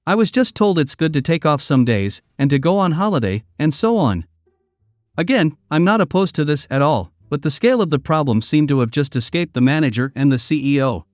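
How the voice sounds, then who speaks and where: A man reading online posts aloud as story narration, in a steady, even delivery. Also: a little high, brisk, dry recording, clean studio sound.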